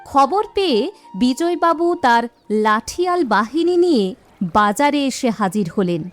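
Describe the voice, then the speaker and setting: A woman narrates a scripted cartoon story. Her voice is somewhat high and moves expressively up and down, with light music playing behind it. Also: lively intonation, normal speed.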